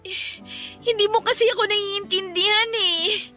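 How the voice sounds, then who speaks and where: A woman's voice: very high voice, steady tone, a little slow, pushed and bright, faint music behind, heavily acted. A woman playing a distressed, pleading young girlfriend in an emotional Filipino radio drama. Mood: tearful, hurt.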